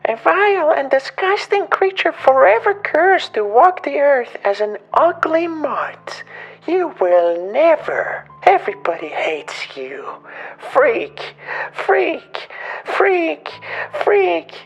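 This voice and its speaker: Casting: nightmarish swan tormentor for horror cartoon, wildly swooping high voice, vicious and drawn out. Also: female voice, sing-song, heavily acted. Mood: cruel, taunting. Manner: slow, menacing, insulting. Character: monstrous cruel swan tormentor hissing insults at a duckling. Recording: muffled lo-fi over faint music.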